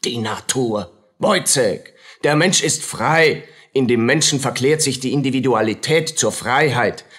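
Pompous, husky, scolding doctor. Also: male voice, medium pitch, animated, a little slow, a bit bright. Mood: outraged. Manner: pompous, declaiming, barking short phrases. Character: pompous, scolding male doctor obsessed with experiments. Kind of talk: radio drama acting.

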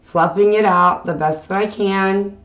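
A woman hosting a craft channel, talking through a tutorial in a casual voiceover with plain, unhurried step-by-step explaining. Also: mid-range voice, fairly even intonation, a bit bright, rough recording. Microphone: voiceover mic.

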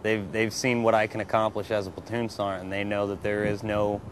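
A man's voice: on the low side, flat delivery, fairly quick, slight background noise. A man in his thirties, a platoon sergeant speaking in an interview.

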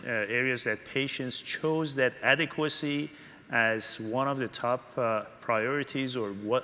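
Halting male panel moderator, bright voice. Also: medium pitch, a little hiss or hum. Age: middle-aged. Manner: halting, hesitant phrasing with many pauses. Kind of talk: moderating a conference panel, reading questions. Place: large conference hall stage. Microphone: podium microphone. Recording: hall reverb from stage PA.

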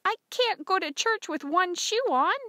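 An earnest young farm girl, acted out by a woman for a storybook. Her voice sits high and swings widely in pitch, expressive and full of feeling. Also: normal speed, no echo, studio quality. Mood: pleading, upset. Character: young farm girl, eager, pleading and emotional. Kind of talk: audiobook character voice.

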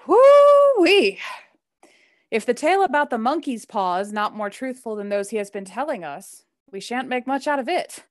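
A woman's voice: fairly high pitch, sing-song, a little slow, no room sound, clean studio sound. A woman acting in a radio play as a playful, teasing grown son of the family. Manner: teasing, exuberant.

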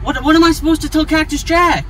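High-voiced stammering young-sounding male prankster. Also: fairly even intonation, fast talker, sharp tone. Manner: hesitant, stammering, repeats words. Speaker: prank vlogger. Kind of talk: casual prank ordering at drive-thrus. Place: in a car at drive-thru speakers. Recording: car noise, outdoor drive-thru.

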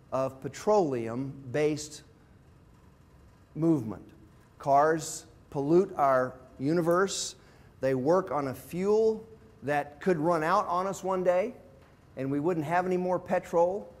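A man's voice: a little high, a little mellow. An older man, around sixty, a pastor giving an informal, plain-spoken teaching talk to a group, explaining things step by step. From from abroad, visiting South Africa. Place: indoor classroom with whiteboard and screen. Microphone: lapel mic.

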